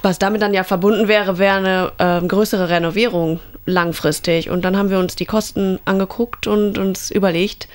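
A woman's voice: mid-range voice, fairly even intonation, moderate pace, hardly any pauses. A woman in her thirties from Germany, telling a story in a casual interview. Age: 30s. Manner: chatty storytelling. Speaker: interviewee, homeowner.

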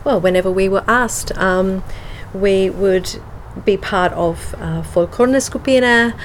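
A woman with an Australian accent in a casual interview, speaking with many pauses and ums, moving between English and Slovenian. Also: medium pitch, a little hiss or hum. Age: adult with children. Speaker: guest.

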